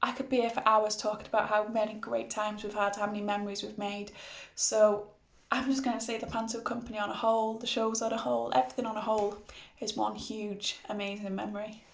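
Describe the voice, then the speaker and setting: Creaky woman, flat pitch, echoey room. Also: fairly quick. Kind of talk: casual reminiscing.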